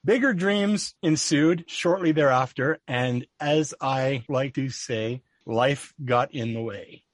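A man hosting a podcast, reading a scripted introduction and then welcoming his guest, with a measured, deliberate delivery. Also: mid-range voice, no room sound. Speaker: podcast host.

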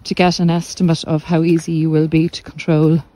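A middle-aged woman giving reflective, informal podcast advice in a measured, deliberate way with pauses. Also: sits low, flat delivery, mellow, no room sound. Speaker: podcast host and narcissistic abuse recovery coach. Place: walk outdoors along the coast.

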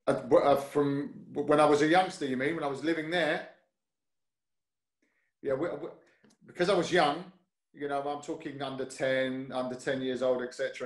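London-accented male guest, easygoing and hesitant, mid-pitched, echoey room sound. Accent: London English. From North London, UK. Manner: hesitant, many fillers and restarts, easygoing. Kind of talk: casual interview chat. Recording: roomy echo.

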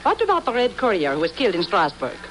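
A poised woman reporter in a 1950s radio thriller. She is coy and teasing, with a medium-pitched voice that swoops expressively. The recording is old and noisy. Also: huge pitch swings, fast talker, fairly bright tone. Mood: coy, probing. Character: poised, sly female freelance newspaper reporter.

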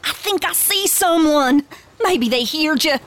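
An actress playing a plainspoken, sociable pioneer wife from 1880s Arkansas in a radio drama, with a folksy Southern American accent. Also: female voice, high-pitched, lively intonation, measured pace, fairly bright tone. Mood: watchful. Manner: folksy, forthright.

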